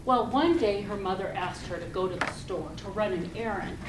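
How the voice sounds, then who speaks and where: A woman giving a rehearsed storytelling speech to an audience, speaking deliberately and expressively with pauses. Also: medium pitch, a crowd in the background. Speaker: club speaker giving a tall tale speech. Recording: roomy, distant mic with loud noise.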